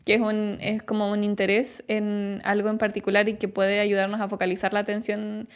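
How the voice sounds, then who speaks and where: A woman's voice: mid-range voice, completely flat delivery, fairly quick, clear, resonant tone. A woman in her late twenties with a Chilean accent, explaining things reflectively in a casual chat as a podcast guest. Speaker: podcast guest, clinical psychologist.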